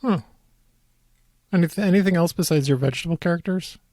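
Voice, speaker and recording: A man hosting a casual interview chat with dry, deadpan humor and a gravelly, husky voice. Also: a little high, fairly quick, no room sound. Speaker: host.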